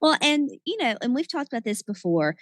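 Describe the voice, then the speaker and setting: A woman's voice: high voice, very animated, a little fast, no echo, studio quality. A woman in her forties co-hosting a podcast, talking casually in an emphatic, playful way and often repeating herself. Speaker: podcast co-host. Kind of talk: casual chat.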